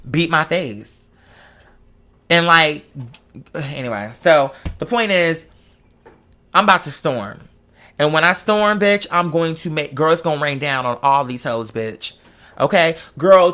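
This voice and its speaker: A man's voice: fairly high pitch, pushed and bright. A man in a casual, chatty monologue, speaking in a sassy, campy, slangy and emphatic way.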